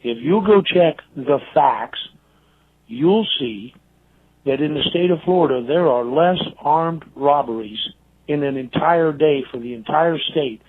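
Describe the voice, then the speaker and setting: A tinny, narrow phone line carries an American man leaving a voicemail. He is a caller with a middle-pitched voice, giving informal criticism. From United States.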